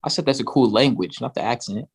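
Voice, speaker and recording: A man's voice: mid-range voice, fast, husky. A man co-hosting a casual podcast, blunt and calling his friend bro. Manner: blunt, says bro a lot. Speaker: podcast co-host.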